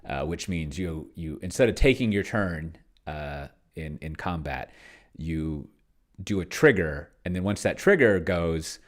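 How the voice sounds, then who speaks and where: A man casually thinking aloud as he hosts a tabletop game session, musing and halting as he goes. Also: low voice, expressive. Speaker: host playing a tabletop roleplaying game with an AI game master.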